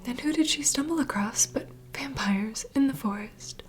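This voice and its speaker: A woman playing a knowing, secretive vampire bartender in an ASMR roleplay, speaking in a soft breathy whisper as an unhurried, gentle mentor. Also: high-pitched, soft background music, lightly acted. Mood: hushed storytelling. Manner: unhurried, confiding storyteller. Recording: close whisper over clear ambient noise.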